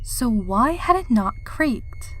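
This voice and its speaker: A woman's voice: on the high side, sing-song, takes it slowly. Woman narrating horror fiction over music. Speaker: story narrator. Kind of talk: dramatic scripted horror story reading. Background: background music.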